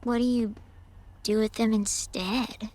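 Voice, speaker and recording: A woman playing a bewildered, foul-mouthed girl in a horror audio drama, halting and incredulous. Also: a little high, steady tone, a little mellow, soft background music. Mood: baffled.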